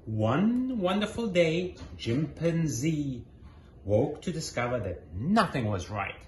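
A man in his thirties reads a children's story aloud. His delivery is deliberate and expressive, with wide swings in pitch. The living room sounds echoey, with faint background noise. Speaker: guest storybook reader. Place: small indoor living room.

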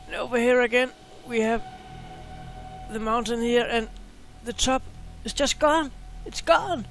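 A man hosting a space video, talking casually and excitedly over a screen share, often repeating words. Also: very high voice, unhurried, slight background noise, rough recording.

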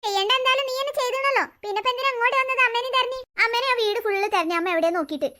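A woman's voice: extremely high pitch, racing, pushed and bright. A woman voicing a feisty, outspoken schoolgirl daughter in a family cartoon, chattering emphatically and arguing. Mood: indignant. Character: feisty, outspoken schoolgirl daughter, quick to defend her mother.

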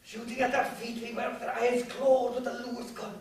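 An actor with an Irish accent playing an old war veteran on stage, eloquent, defiant and haunted, declaiming deliberately. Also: male voice, very high voice, flat delivery. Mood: anguished. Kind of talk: live stage play acting. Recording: echoey theatre stage, distant mic, faint hiss.

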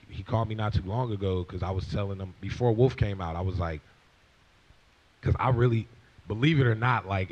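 A man's voice: lowish voice, darkish tone, lo-fi sound. A young man in his twenties telling a long profane story, acting out what people said, on a stage with a handheld mic. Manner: profane, rambling, acts out quoted lines. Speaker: guest rapper. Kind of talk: casual storytelling. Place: stage with leather couch before audience.

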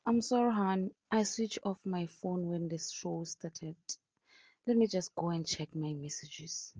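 A woman's voice: on the low side, a little slow, mellow. A woman playing an anxious but determined wife in an audio drama. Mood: apologetic.

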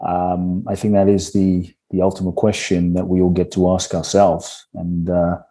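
A young man born in Ghana and raised in London, a podcast guest telling his life story in a measured, earnest way over an earbud mic on a video call. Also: low-pitched, completely flat delivery, mellow. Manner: measured, earnest, repeats phrases for emphasis. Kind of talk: reflective storytelling. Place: home room. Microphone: earbud headset mic on video call.

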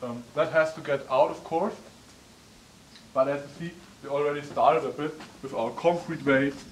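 A man's voice: a little high, steady tone, moderate pace. A young man giving a casual, chatty walkthrough tour of an old wooden barn, with lots of ums, recorded on a camera mic from a distance. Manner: chatty, frequent ums, gestures while walking. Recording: echoey barn, distant mic, faint noise.

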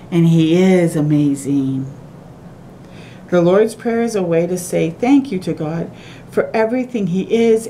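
A middle-aged woman reading a children's picture book aloud with asides, in simple sing-song phrasing addressed to little ones. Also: dark tone. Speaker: children's storytime reader. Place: small room at home, by a window. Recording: clear background noise with low rumble.

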